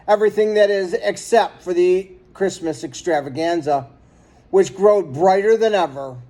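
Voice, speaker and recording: Man, high-pitched voice, children's storybook read-aloud, expressive, dramatic delivery. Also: moderate pace. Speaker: storybook reader.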